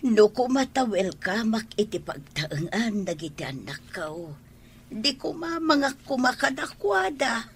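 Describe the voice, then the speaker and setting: An actress in a radio drama playing an older mother who talks apologetically and gently. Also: fairly high pitch, sing-song, measured pace, a little hiss or hum. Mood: humble. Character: older mother Nana Linda, humble and grateful. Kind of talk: Ilocano radio drama acting.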